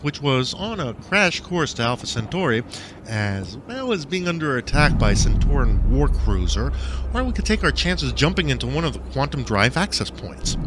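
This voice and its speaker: Man narrating with lively, swinging pitch. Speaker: audiobook narrator reading own fiction. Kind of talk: first-person storytelling narration.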